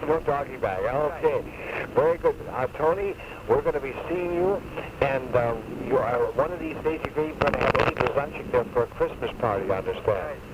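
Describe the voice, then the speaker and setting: A man's voice: medium pitch, lively intonation, moderate pace. A man working as a radio host, chatting and plugging a local business in a live interview. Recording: noisy muffled 1970s AM radio cassette recording.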